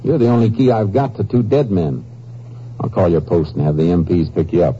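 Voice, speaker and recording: An actor playing a stern, shrewd Texas Ranger investigator in a 1950s radio drama, questioning bluntly and with authority. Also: male voice, sits low, steady tone, a little fast, warm tone, breathy edge. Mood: stern, unyielding. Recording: muffled, noisy old 1950s radio recording.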